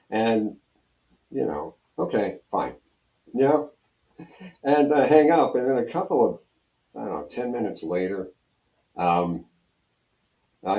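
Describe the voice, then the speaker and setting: A man's voice: fairly low pitch, mellow. An older American man telling radio stories as an interview guest, casually rambling and acting out bits of dialogue. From Pennsylvania, United States. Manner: rambling, conversational, acts out dialogue. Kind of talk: casual anecdotal storytelling. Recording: roomy, echoey sound.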